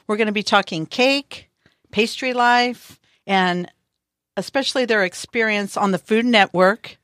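A woman hosting a podcast, interviewing guests in a relaxed, encouraging way. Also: medium pitch, unhurried, no room sound, studio quality. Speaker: podcast host.

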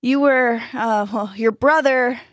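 A woman's voice: a little high, steady tone, takes it slowly, no echo, voice pushed up. A woman plays a storybook boy hero, earnest and protective. He speaks with hesitant determination, in a close dry recording.

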